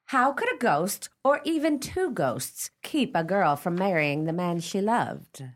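A proper, romantic-minded lady of radio drama speaks primly. Her medium-pitched voice is expressive, with very lively intonation. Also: a little slow. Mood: inquisitive.

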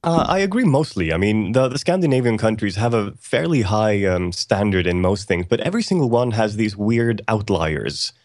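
Low-voiced man from Iceland, dry delivery, close dry sound. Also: very animated, moderate pace, hardly any pauses, no echo. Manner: dry, matter-of-fact humor. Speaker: panelist. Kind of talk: casual panel chat.

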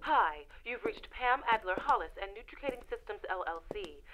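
A woman's voice: mid-range voice, lively intonation, normal speed. Muffled female voicemail greeting, acted. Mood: brisk, businesslike. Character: businesswoman's brisk recorded voicemail greeting. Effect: telephone voicemail filter. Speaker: actor in a radio drama. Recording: dull phone voicemail, faint noise.

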